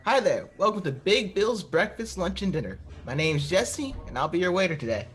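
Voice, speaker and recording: A man's voice: high-pitched, big pitch swings, moderate pace, a bit bright. A young-sounding man playing an awkward teenage runaway working as a waiter in an audio drama. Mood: polite. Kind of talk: scripted audio drama dialogue.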